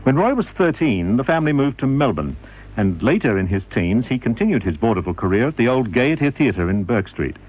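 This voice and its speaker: A man reading scripted radio documentary narration in a measured, formal manner. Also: mid-range voice, big pitch swings, darkish tone, highs cut off.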